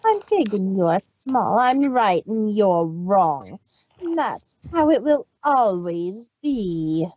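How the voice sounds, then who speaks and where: A woman's voice: medium pitch, sing-song, slow, mellow, muffled recording. A woman voicing a cruel, domineering cartoon pony villain, taunting and emphatic, in an amateur fan fiction reading. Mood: gloating, taunting.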